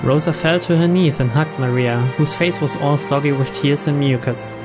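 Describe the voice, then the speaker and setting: Male narrator, slightly high voice, reading visual novel prose aloud over prominent game music. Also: steady tone, moderate pace. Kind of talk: scripted story narration read aloud.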